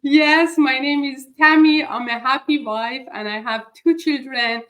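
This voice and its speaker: A woman's voice: high-pitched, a bit bright. A middle-aged woman from Iran hosting a live chat, speaking hesitantly with many fillers. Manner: slow, hesitant, frequent fillers. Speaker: host.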